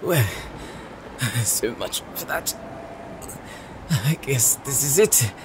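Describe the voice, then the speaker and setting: A man's voice: mid-range voice, animated, drawn-out words, background noise. A man acting a wounded, devoted warrior brother in a dramatic fantasy audio roleplay, with a strained, emotive delivery. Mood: pained, resigned.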